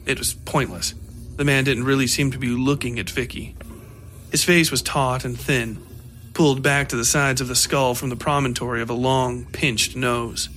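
A man's voice: mid-range voice, a bit bright, slight background noise. A man reading scripted horror fiction as the narrator of an audio story.

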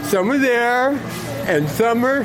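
A man's voice: extremely high pitch, lively intonation, slow, low-quality recording. A man on the street who talks with frequent chuckles and keeps checking in with "okay". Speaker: interviewee. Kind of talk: street interview. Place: city street outdoors. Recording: street music behind.

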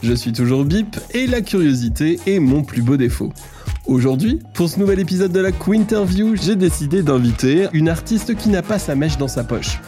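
A man's voice: on the high side, expressive, moderate pace, warm tone, faint music behind. Playful male podcast host. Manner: playful, teasing, lyrical intros. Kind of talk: casual interview hosting.

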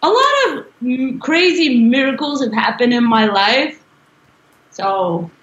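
A woman with a strong, fairly high voice, rambling and giggling through a casual interview chat. The sound is roomy and a little dull, like a podcast recorded in an untreated room. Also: expressive, slow pace, fairly bright tone. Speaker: musician guest.

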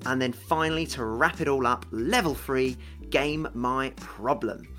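A man's voice: mid-range voice, unhurried, pushed and bright, faint music behind. A man hosting a business and games podcast, upbeat and teasing in casual chat. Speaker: podcast host.

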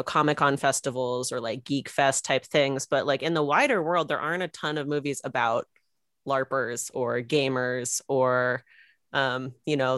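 Casual low-voiced woman in her thirties, dry sound. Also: fairly bright tone, dry recording. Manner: informal, giggly, punctuates talk with small laughs. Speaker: filmmaker, interview guest. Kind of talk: casual chat.

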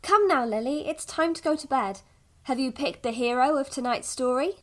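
A woman playing a patient, soothing mother talking gently to her young child in an audio drama. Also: sits high, normal speed, close to an everyday voice. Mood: gentle, curious.

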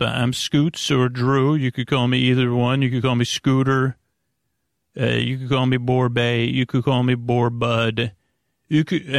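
A man with a creaky, gravelly voice, hosting a podcast in a meandering, halting bedtime monologue that keeps interrupting itself. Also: mid-range voice, no echo, studio quality. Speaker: podcast host. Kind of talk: rambling bedtime monologue to help listeners sleep.

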